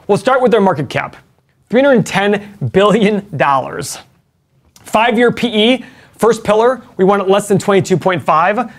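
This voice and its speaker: Higher-voiced male stock analyst explaining. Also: expressive, unhurried. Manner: explains step by step, asks rhetorical questions. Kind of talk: casual finance chat.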